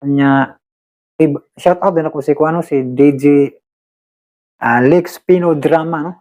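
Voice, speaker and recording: A man's voice: on the high side, measured pace, phone-quality sound. A man with a Cebuano Filipino accent chatting casually to his listeners as host, rambling and hesitant, often repeating himself. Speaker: host and drama uploader. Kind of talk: casual chat to listeners, shout-outs.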